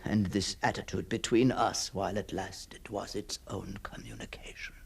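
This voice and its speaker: An actor playing a haunted, obsessive gentleman telling his own ghost story as a theatrical, halting radio monologue that often sinks toward breathy whispers. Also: deep voice, steady tone, exaggerated voice. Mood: haunted, hushed.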